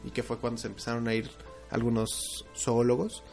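A man's voice: mid-range voice, level tone, fairly quick, vocal fry, a little mellow, faint music behind. Joking young Mexican man, casual chat. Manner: crude, joking, storytelling. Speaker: podcast co-host.

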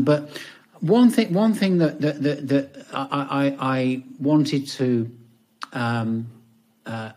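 A man hosting a podcast interview, talking in a halting way with many restarts. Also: medium pitch, darkish tone. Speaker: podcast host. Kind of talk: casual interview chat.

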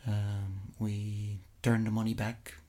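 A middle-aged man from Flemish-speaking Belgium, a native Dutch speaker with a Flemish accent, answering interview questions conversationally and somewhat haltingly. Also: on the low side, little pitch movement, mellow. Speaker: interview guest, investment fund executive.

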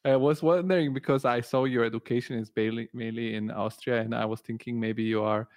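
A man born in Bulgaria and raised in Italy hosts a podcast in a casual, rambling way, often searching for words. His mid-pitched voice sounds dry and close on a remote call. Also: steady tone, fairly quick, darkish tone, no room sound. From born in Bulgaria, grew up in Italy. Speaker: podcast host. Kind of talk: casual interview conversation.

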